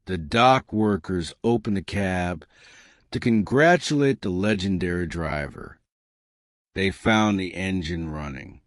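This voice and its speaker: Deep, slow male thriller narrator. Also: dry recording, clean studio sound. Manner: measured, dramatic, suspenseful. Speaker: storyteller narrator. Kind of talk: scripted supernatural thriller narration.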